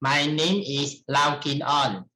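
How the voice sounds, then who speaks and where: A middle-aged man with a Malaysian accent gives a formal academic presentation in a halting, deliberate manner. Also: on the high side, steady tone, bright, forceful tone, low-quality recording. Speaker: researcher presenting at a conference.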